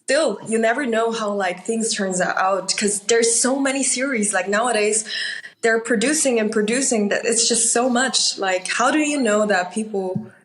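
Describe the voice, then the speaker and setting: A woman's voice: a little high, normal speed, low-quality recording. Austrian woman, native Mandarin speaker, chatting casually. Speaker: interview guest, actress. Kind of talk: casual interview chat.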